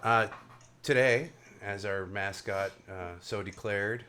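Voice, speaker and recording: A man's voice: fairly low pitch, slow, pushed and bright, slight background noise. A man hosting a casual panel chat over a video call, joking and rambling. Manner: jokey, rambling, self-mocking. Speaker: host of a panel show.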